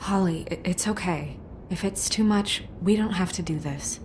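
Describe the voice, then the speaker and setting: An actress playing a caring, composed woman who helps an investigation in a video game, gentle and diplomatic in manner. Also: lowish voice, moderate pace, slight background noise, natural voice. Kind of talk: dramatic video game acting.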